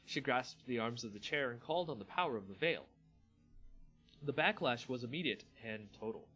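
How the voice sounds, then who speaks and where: A man reading a fantasy story aloud as a theatrical, deliberate dramatic narrator. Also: mid-range voice, expressive, bright, forceful tone, faint music behind, low-quality recording.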